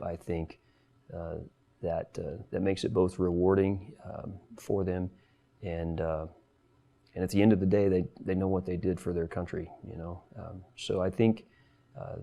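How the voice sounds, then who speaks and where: A man speaking as a military commander, giving measured, hesitant interview remarks. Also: low-pitched, creaky, dark tone.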